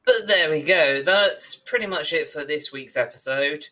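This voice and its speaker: Young male podcast co-host, bright and forward tone, casual discussion on a video call. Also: a little high, normal speed. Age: young adult. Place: indoor room on a video call.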